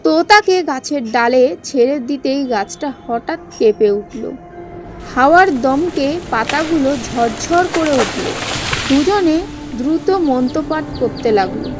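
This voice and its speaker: Woman, high pitch, slow pace, scripted spooky storytelling, music behind. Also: expressive. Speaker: story narrator. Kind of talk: scripted horror fairy-tale narration.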